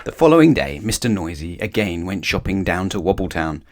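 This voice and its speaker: Casting: male children's story reader, lowish pitch, very animated delivery. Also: fairly quick. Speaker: storybook narrator. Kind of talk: expressive children's read-aloud.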